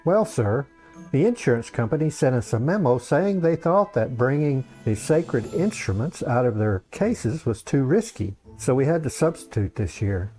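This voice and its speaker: A man's voice: medium pitch, sing-song, normal speed, mellow, soft background music, close to an everyday voice. A man voicing an earnest, deferential young acolyte with a polite, obliging manner in a comic fantasy story. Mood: apologetic.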